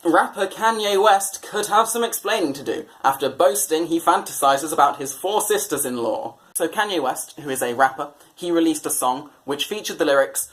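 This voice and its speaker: A man's voice: a little high, normal speed, few pauses, fairly bright tone, rough recording. A British man presenting satirical commentary on newspapers in a sardonic, mock-exasperated way. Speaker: comedy presenter.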